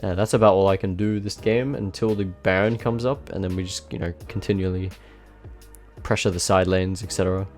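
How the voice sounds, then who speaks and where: A man with a somewhat low voice, a little nasal from a blocked nose, talks through his own match in a loose, self-mocking way. Soft music hums faintly behind him. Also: a little fast. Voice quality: slightly nasal. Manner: self-mocking, relaxed, frequent fillers. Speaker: gameplay commentator. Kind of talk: casual voice-over commentary on his own match. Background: faint background music.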